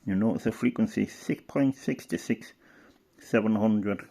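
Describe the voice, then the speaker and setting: A man with a fairly deep, very gravelly voice talks casually through material as he browses it. His delivery is unscripted and halting, sometimes muttered, with phrases trailing away. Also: warm tone. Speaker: hobbyist presenter. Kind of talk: casual unscripted commentary while browsing a catalogue.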